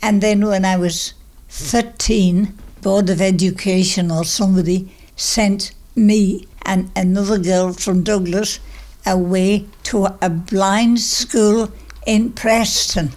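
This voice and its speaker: A woman's voice: medium pitch, fairly even intonation, slow pace, darkish tone. A woman in her nineties with a Manx accent, casually reminiscing in a plain, emphatic way and repeating herself. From Isle of Man. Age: 90s. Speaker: interviewee recalling childhood.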